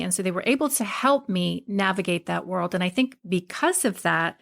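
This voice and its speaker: A woman's voice: on the low side, gravelly, fairly bright tone. A middle-aged woman from Hawaii, a guest on a podcast, telling candid stories about her life and often laughing as she talks. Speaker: podcast guest, spiritual teacher. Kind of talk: casual interview conversation.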